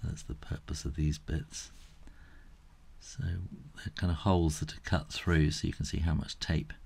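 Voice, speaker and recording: A middle-aged man giving a casual step-by-step drawing tutorial, unhurried and thinking aloud as he works. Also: a bass voice, little pitch movement, creaky, darkish tone, breathy edge.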